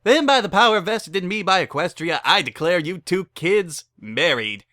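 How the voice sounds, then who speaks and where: A man plays an eager pony mare selling weddings in a storybook reading. The voice is lifted high and bright, full of chirpy, insistent cheer, and recorded dry and close. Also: animated, moderate pace, no room sound. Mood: delighted, brisk. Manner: eager, chirpy sales patter. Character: pushy, cheery mare who runs a wedding chapel. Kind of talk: dramatic reading of fan fiction.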